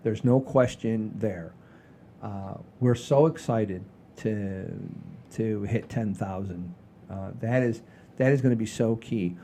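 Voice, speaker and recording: An older man hosting a casual podcast, rambling in a folksy, halting way with repeats and the odd mild swear. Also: fairly low pitch, mellow, slight background noise. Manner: folksy, mildly profane, halting and repetitive. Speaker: podcast host.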